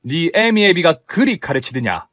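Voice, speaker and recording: A man putting on a sharp-tongued Gyeongsang mother-in-law. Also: a little high, big pitch swings, normal speed, no room sound, clean studio sound, pitched above the performer's own voice. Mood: scolding. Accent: Gyeongsang dialect. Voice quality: scolding, sarcastic.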